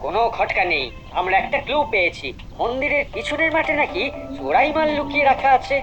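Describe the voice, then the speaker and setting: Cocky cartoon schemer, quick and swooping, muffled under music. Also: male voice, very high-pitched, very animated. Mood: slyly persuasive. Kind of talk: exaggerated cartoon voice acting. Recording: muffled, band-limited sound under music.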